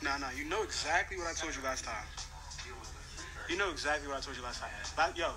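A man's voice: fairly high pitch, quick pace, loud music behind the voice. A young man with a slangy, insistent, repetitive way of talking, as if on a phone call. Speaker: prankster on a fake phone call. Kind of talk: staged casual phone conversation.

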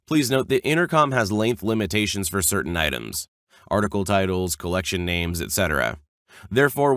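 Male tutorial narrator, lowish pitch, animated melody, step-by-step scripted delivery, dry close voice-over. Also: no room sound. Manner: clear step-by-step explaining.

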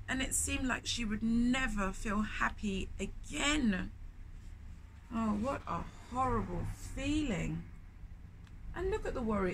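A woman in her thirties reads a children's story aloud in a slightly high voice. She leans on key words expressively and often turns to ask her young listeners questions. The recording is made indoors in a bookshop, with faint noise behind her. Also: rough recording. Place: indoors in a bookshop, in front of shelves.

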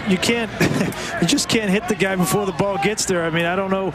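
A man's voice: high voice, fairly even intonation, moderate pace, over loud music, a loud crowd. A man giving color commentary on a football broadcast, explaining each play like a coach walking through the replay. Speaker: color analyst.

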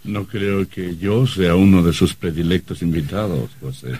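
Sardonic guest: gruff, very low, husky, radio play delivery. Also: male voice, a little slow, mellow. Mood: wry. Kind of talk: radio drama acting.

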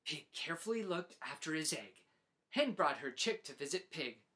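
A man in his forties narrating a children's storybook as host, with deliberate, dramatic phrasing. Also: a little high, very animated, sharp tone. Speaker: host reading picture books aloud.

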